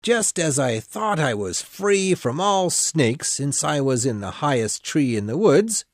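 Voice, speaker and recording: A woman puts on a fretful storybook pigeon, shrill and accusing, stubbornly sure of himself. Delivered slowly, with the pitch swinging widely, set a little apart from the usual voice and recorded dry. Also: sing-song, no echo, full character voice. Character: suspicious fretful male pigeon bird.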